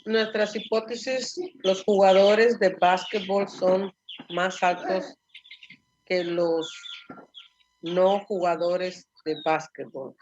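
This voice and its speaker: A woman's voice: on the low side, steady tone, slow pace, a little mellow. Female student, frank; dull lo-fi audio with faint noise. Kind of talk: casual lesson talk.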